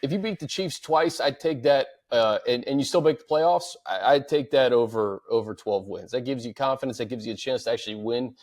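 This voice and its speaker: A male sports host with an average-pitched voice. He talks through the topic conversationally, thinking out loud as he hosts the discussion. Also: fairly quick, few pauses.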